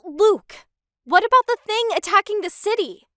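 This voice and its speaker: A woman's voice: extremely high pitch, lively intonation, normal speed, bright, forceful tone, no room sound, clean studio sound, voice pushed up, heavily acted. A woman voicing an anxious, embarrassed teenage cat girl in a comic dub, hesitant and confessional. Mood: tense, urgent.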